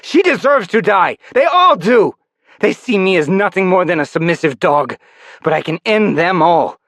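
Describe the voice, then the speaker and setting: A man reading an audiobook voices a resentful, downtrodden man who has turned vengeful and shouts bitterly. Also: medium pitch, big pitch swings, moderate pace, dry recording. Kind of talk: audiobook character voice.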